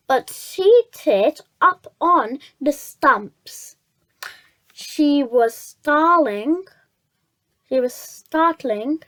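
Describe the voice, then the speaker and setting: Storybook reader, high pitch, very unhurried, halting delivery with repeated words. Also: a bit bright. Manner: halting, stumbles over words and repeats phrases. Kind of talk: children's story read aloud.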